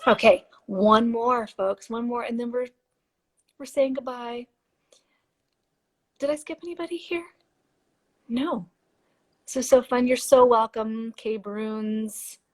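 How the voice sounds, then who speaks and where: A woman hosting a live stream, chatting and giving oracle card readings, her voice a little hoarse. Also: on the high side, takes it slowly, pauses often. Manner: warm, chatty, reads card texts softly. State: slightly hoarse, losing her voice. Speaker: podcast host and intuitive reader. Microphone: phone or computer mic for a live stream.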